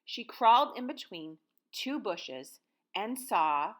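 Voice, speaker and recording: A woman's voice: medium pitch, very animated, slow pace, a bit bright. An American woman in her thirties, a teacher reading and talking to young children in simple, guiding phrases. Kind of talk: picture-book read-aloud and lesson for children.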